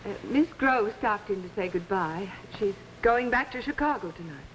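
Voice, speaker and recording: An actress playing a conductor's gracious, candid and faintly uneasy wife in a 1950s television drama. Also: female voice, medium pitch, lively intonation, normal speed, slight background noise, natural voice, low-quality recording. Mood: polite, strained.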